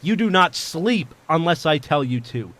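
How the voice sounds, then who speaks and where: Male actor as ruthless bank robber, audio drama villain, higher-pitched voice, domineering, menacing, commanding. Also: lively intonation, moderate pace, a bit bright, no room sound. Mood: cold menace.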